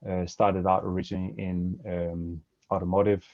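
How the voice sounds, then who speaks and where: A man's voice: low-pitched, little pitch movement, moderate pace, dry recording. A middle-aged man from Denmark with a Danish accent, giving a hesitant webinar talk full of fillers from a home office over a headset. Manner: hesitant, many fillers and restarts. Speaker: webinar guest speaker. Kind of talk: webinar presentation. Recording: dry, dull video-call audio.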